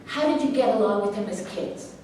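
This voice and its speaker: A woman's voice: medium pitch, animated, a little fast, lightly acted. Distant mic with faint noise, female actor as composed middle-aged woman court examiner, formal, probing, clipped, staged drama. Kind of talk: staged play reading. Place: live staged reading in an echoing room. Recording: echoey room, distant mic, background hiss.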